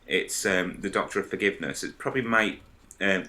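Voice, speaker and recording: A man in a casual podcast discussion, explaining his ideas in a hesitant, halting way. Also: sits low, bright, forceful tone. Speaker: podcast panellist.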